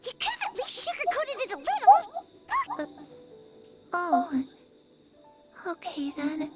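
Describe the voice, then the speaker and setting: A woman's voice: extremely high pitch, wildly swooping pitch, takes it slowly, exaggerated voice. A woman voicing an earnest, trusting young girl in a video game, with a chatty, artless and eager manner. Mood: earnest, candid. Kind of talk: animated video game voice acting. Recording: music behind the voice.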